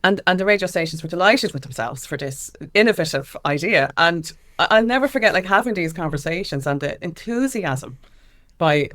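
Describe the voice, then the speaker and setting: An Irish woman being interviewed as a campaigner, speaking in an animated, anecdotal way, repeating phrases for emphasis and acting out quoted exchanges. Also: lowish voice, fairly quick. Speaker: interview guest, music equality campaigner.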